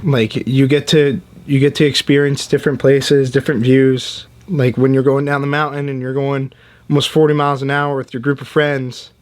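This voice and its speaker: A man's voice: medium pitch, level tone, normal speed. A 22-year-old man in a casual interview, friendly and easygoing.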